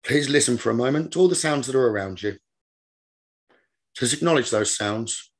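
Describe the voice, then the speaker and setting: A man leading a guided meditation as a teacher, giving gentle, repetitive instructions in a slow manner. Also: mid-range voice.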